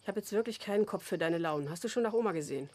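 A woman's voice: medium pitch, animated, racing, a little mellow, natural voice. An actress playing a harried mother in a naturalistic radio play. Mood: impatient. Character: harried single mother, irritable and evasive.

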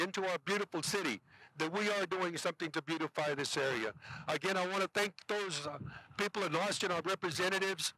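An older man gives brief official remarks as a councilman. His voice is high for a man and forceful, picked up dry at an outdoor podium. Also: no room sound, low-quality recording. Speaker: city councilman. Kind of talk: prepared public remarks. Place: outdoors in an open field. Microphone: podium microphone.

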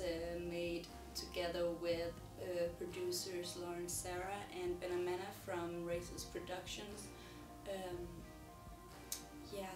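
Danish woman, soft talk in a roomy echo. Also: fairly low pitch, far from the mic. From Denmark. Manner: soft-spoken, many pauses and ums. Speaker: singer-songwriter. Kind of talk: talking to camera about her music. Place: indoors in front of a plain wall.

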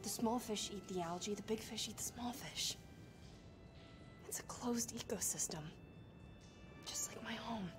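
An actress playing an earnest, hopeful young woman, a tech-savvy survivor in a video game, with a soft voice. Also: fairly high pitch, a little slow, long pauses, lightly acted. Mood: wistful, proud. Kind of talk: video game cutscene acting. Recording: music behind the voice. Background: music.

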